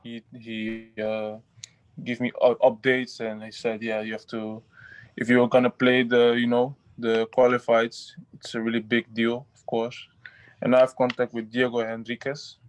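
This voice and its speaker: Guy footballer, halting answers, monotone, dry close sound. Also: mid-range voice, dry recording. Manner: halting, restarts often, simple phrasing. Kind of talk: interview answers.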